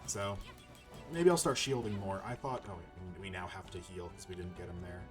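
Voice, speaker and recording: A man's voice: sits low, moderate pace. A man giving casual, chatty let's play commentary, thinking aloud over game music. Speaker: gaming video host.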